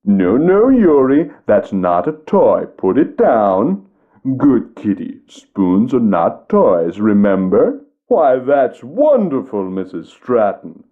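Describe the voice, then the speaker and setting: A man's voice: medium pitch, big pitch swings, takes it slowly, phone-quality sound. An actor playing a theatrical male psychic who coaxes and performs, heard as if through a wall or a phone. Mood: cooing. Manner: theatrical, showy, coaxing. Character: theatrical male psychic fussing at his cat. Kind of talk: video game voice acting.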